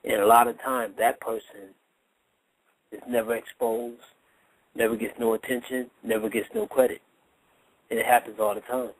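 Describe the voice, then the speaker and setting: A man's voice: mid-range voice, level tone, moderate pace, pauses often, low-quality recording. A man talking casually as a boxing trainer in a radio interview, explaining his points with emphasis and repetition. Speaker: boxing trainer, guest analyst.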